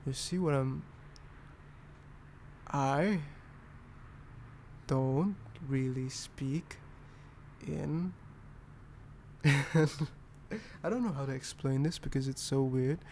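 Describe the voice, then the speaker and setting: A young man from Indonesia with an altered British accent, talking softly and rambling in a boyfriend ASMR roleplay. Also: medium pitch, extremely slow, long pauses, a little hiss or hum. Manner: soft, rambling, self-deprecating.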